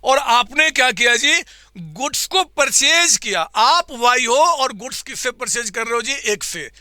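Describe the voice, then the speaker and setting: A man teaching accounts in a live online class, with a slightly hoarse throat, quizzing his students and answering for them in a coaxing way. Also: extremely high pitch, lively intonation, unhurried, bright, forceful tone, no echo, clean studio sound.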